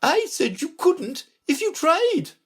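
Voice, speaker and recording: A woman voicing a sharp, impatient, bossy queen in a children's storybook, speaking emphatically. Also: extremely high pitch, very animated, slow pace, voice pushed up, exaggerated voice. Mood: indignant, severe. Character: Red Queen, sharp bossy imperious scolding royal chess piece.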